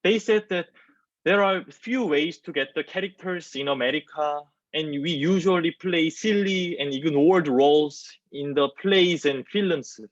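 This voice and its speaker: Young male, Korean accent, student actor delivering a halting monologue over lo-fi, dry video-call audio. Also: on the high side, dry recording. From South Korea. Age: 20s. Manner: halting English with pauses. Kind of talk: dramatic reading of self-written monologue. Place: small plain indoor room. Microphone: wireless earbuds on a video call.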